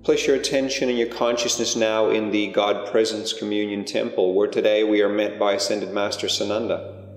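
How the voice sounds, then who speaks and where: A man leading a guided meditation, giving unhurried, hushed instructions with pauses between phrases. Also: lowish voice, fairly even intonation. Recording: long echoing reverb, music underneath. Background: music.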